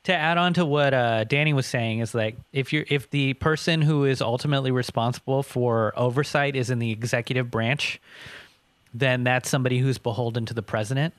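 American podcast guy, average pitch, explains things in a measured way, dry recording. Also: dry recording. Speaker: podcast co-host. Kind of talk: casual political panel discussion.

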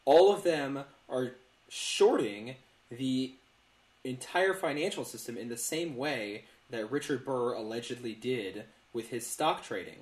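A man recorded in a roomy, echoey space talks as a podcast co-host. His pitch is middling, and he explains casually, stammering over repeats and then landing hard on his points. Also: expressive, measured pace.

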